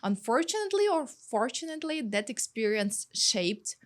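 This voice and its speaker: A woman, a guest in a studio interview, chatting quickly and filling pauses with "like" and "you know". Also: on the high side, very animated. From Ukraine.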